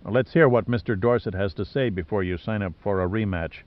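A man's voice: fairly low pitch, fairly quick, no echo, lo-fi sound, voice pushed down, lightly acted. A man voicing a principled, defiant male hero in an audiobook, blunt and accusing. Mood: dry defiance. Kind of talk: audiobook character dialogue.

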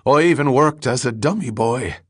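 Man voicing a rambling, half-mad ragged drifter, higher-pitched. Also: normal speed, slightly rough, no echo, clean studio sound, lightly acted. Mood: wistful rambling. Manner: rambling, repetitive, slangy street talk.

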